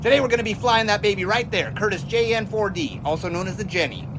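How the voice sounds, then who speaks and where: An actor playing a cheerful, eager American test pilot of the 1920s in an audio drama, with a folksy, teasing manner. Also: male voice, high voice, quick pace, noisy background, lightly acted. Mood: jovial, teasing. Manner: folksy, jaunty, enthusiastic. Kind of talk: period audio drama acting.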